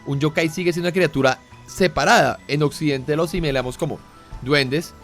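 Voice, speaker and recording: Male host, mid-pitched, talks at length, faint music. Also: fairly quick, fairly bright tone. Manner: clarifies at length with restarts. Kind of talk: talk show hosting.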